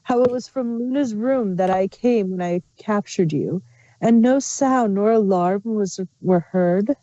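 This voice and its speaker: A woman's voice: medium pitch, a little slow, dark tone, lightly acted. A woman playing a sly, wistful changeling queen villain in a fan fiction reading. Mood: smug, taunting. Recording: muffled, roomy home recording.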